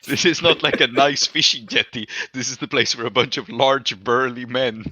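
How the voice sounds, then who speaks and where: A man's voice: mid-range voice, moderate pace, pushed and bright, no echo. A man improvising narration as game master in a tabletop roleplaying game, thinking aloud as he goes.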